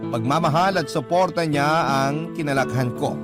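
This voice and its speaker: A man playing a grieving, self-blaming orphan who narrates a life story in a solemn, measured, sentimental radio drama style. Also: fairly high pitch, lightly acted. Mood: sorrowful. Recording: music bed with guitar.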